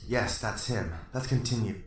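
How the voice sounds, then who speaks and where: A man's voice: lowish voice, normal speed, a little hiss or hum, natural voice. A man playing a blunt, stern detective. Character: stern male police detective. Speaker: interrogating detective. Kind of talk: amateur radio drama acting. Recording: roomy, distant mic, music underneath.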